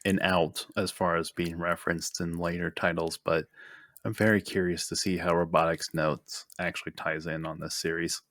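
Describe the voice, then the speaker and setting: A man's voice: low-pitched, little pitch movement, normal speed, fairly bright tone, dry recording, clean studio sound. A man co-hosting a gaming podcast, chatting casually about what he has played. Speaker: podcast co-host.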